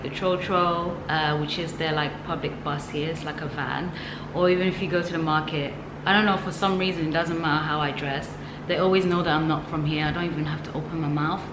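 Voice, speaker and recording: A woman talking casually to camera in a vlog, reflective and chatty, with light laughs and frequent fillers. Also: low voice. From from the West, now living in Ghana. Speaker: vlogger. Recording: echoey room with noticeable background noise.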